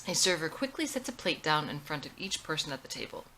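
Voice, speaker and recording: A woman's voice: sits low, very animated, quick pace, pushed and bright, a little hiss or hum. A woman narrating the stage directions of a screenplay as its writer reading it out.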